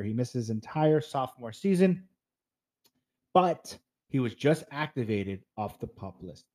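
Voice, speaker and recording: A man's voice: medium pitch, mellow. A man in his thirties from New Jersey hosting a fantasy football podcast, chatty and upbeat, talking straight to his listeners while stuffed up with a cold. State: stuffed up, congested. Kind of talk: casual podcast monologue.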